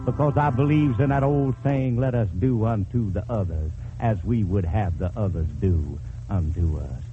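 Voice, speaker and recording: A man's voice: lowish voice, normal speed, phone-quality sound. A man delivering a drawling, folksy comic lament, half spoken, to an audience on an old radio recording. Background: music accompaniment.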